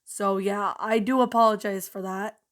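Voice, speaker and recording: A hoarse woman with a cold, hosting her channel and giving a rambling, casual spoken review of a story. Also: medium pitch, fairly even intonation, moderate pace, fairly bright tone. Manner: rambling, many fillers and restarts, upbeat.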